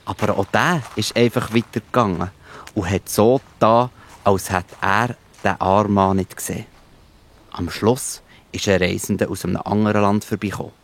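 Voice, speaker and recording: A man's voice: fairly low pitch, fairly even intonation, normal speed. A man with a Swiss German accent telling a scripted children's Bible story.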